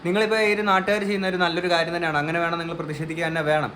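A man's voice: fairly high pitch, racing, fairly bright tone, a little hiss or hum. A man giving earnest, emphatic opinion commentary as a vlogger on a news case.

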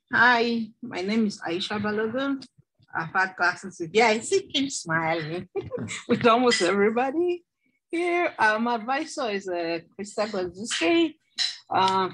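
A doctoral student introducing herself in an online class, chatty and laughing, with restarts. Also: female voice, medium pitch, fairly bright tone, a little hiss or hum, low-quality recording. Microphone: video call.

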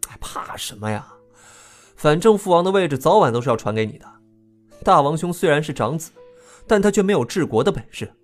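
Casting: frank, indignant youngest prince for audio drama, animated and expressive delivery. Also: male voice, a little high, fairly quick, faint music behind. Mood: indignant, insistent.

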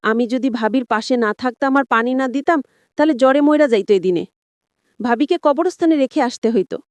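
A woman's voice: sits high, brisk, no echo, natural voice. A woman playing a sly, self-pitying husband in an audio story, persuading in colloquial rural Bengali speech. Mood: self-pitying excuses.

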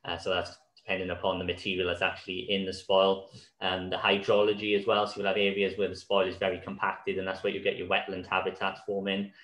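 A man in his thirties speaks over a video call, his voice very low and a little hoarse. He talks in a loose, relaxed way with many fillers, as if presenting slides. The room around him sounds echoey. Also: fast. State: slightly hoarse. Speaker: conservation speaker. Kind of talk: online conference talk with slides. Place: small room at home.

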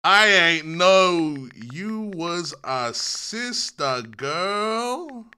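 A man in his twenties chatting casually and excitably to livestream viewers in slangy speech, close on a desk mic. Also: high-pitched, extremely slow, clean tone. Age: 20s. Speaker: livestream host. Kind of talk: casual reaction chat to stream viewers. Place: small decorated room at home. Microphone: close desk microphone on a boom arm.

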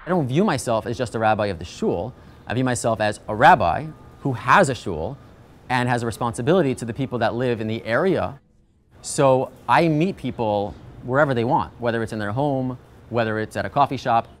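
A man's voice: medium pitch, expressive, a little fast, slight background noise. A man in his thirties, a rabbi from the US East Coast, speaking in an earnest, reflective, conversational way in a documentary interview. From United States, East Coast. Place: outdoors on a shaded porch. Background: soft music.

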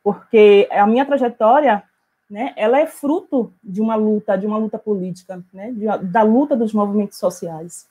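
A middle-aged woman from Bahia, giving an academic talk over a video call on an earphone headset, measured and full of hesitations. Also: medium pitch, warm tone, husky. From Bahia, Brazil. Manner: measured, many hesitation fillers. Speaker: invited speaker, author. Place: small room at home, indoors.

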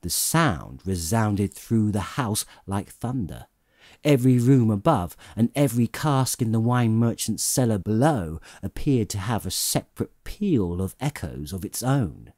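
A man narrating a classic story as an audiobook, theatrical and deliberate, drawing out words for emphasis. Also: on the low side, big pitch swings, a little mellow, no echo, studio quality.

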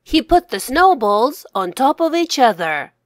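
Slow, animated woman reading a children's story. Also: sits high, no room sound, clean studio sound. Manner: careful, deliberate enunciation. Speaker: children's author reading own story aloud. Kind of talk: scripted children's storybook narration for English learners.

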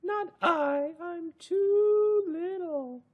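A man voicing a small, whiny, squeaky rat that makes excuses, drawing the words out for children. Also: narrow, tinny audio, exaggerated voice. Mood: whiny refusing. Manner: drawn-out, deliberate delivery for children.

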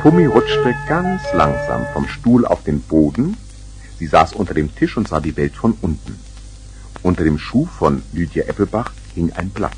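A man's voice: low voice, big pitch swings, moderate pace, a little mellow, faint music behind, rough recording. A man narrating a scripted children's story.

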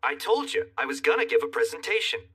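An actor playing a cocky young fantasy hero in an animated dub, narrating and bantering with smug, sardonic wit. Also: male voice, high voice, quick pace, breathy edge, distant mic, heavily acted. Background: music.

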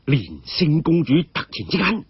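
A man's voice: on the high side, huge pitch swings, moderate pace, slightly rough, no echo, lo-fi sound. A man narrating a story dramatically.

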